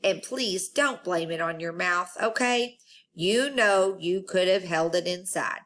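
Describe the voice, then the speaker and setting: A woman reading the part of a calm, stern but kindly male school principal, measured and gently admonishing, in a storybook. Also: on the low side.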